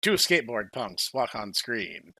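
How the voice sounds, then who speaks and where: A man's voice: mid-range voice, big pitch swings, a little slow, gravelly, bright, forceful tone, husky, no echo. A man narrating a video game story as a podcast host, in a playful scripted style.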